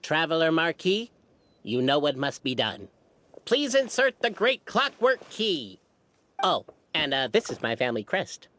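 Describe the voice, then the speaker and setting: A formal, earnest noble from a video game, a little awkward. His voice is fairly high and bright, with lively intonation. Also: unhurried, heavily acted. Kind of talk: video game character acting.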